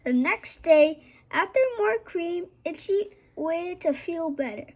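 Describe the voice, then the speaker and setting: Female storybook narrator for children: very high pitch, unhurried, a little halting. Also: expressive, darkish tone.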